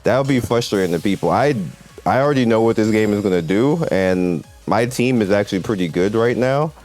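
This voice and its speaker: A man with a fairly low voice gives casual gameplay commentary. He speaks emphatically, circling back to hammer his points home, with faint music underneath. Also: expressive, a little fast, darkish tone. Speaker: host of a mobile game video channel. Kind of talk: casual gameplay commentary, talking to viewers and developers.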